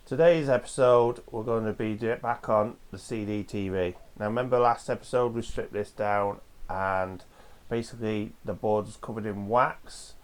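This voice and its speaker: A hoarse man with a cold, hosting a casual repair walkthrough in a home workshop, explaining each step in a chatty, rambling way. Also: on the low side, steady tone, normal speed, few pauses. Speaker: host of a retro computer repair channel.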